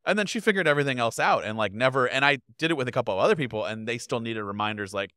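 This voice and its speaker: A man in his thirties, a guest on a video podcast, talking casually and animatedly into a boom microphone in his home studio. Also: very fast, no echo. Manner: animated, gestures a lot while talking. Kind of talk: casual chat. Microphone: podcast mic on boom arm.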